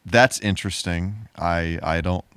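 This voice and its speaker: A man's voice: low-pitched, unhurried, fairly bright tone, clear, resonant tone, dry recording. A man co-hosting a podcast in casual, slightly hesitant and rambling conversation. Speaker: podcast co-host.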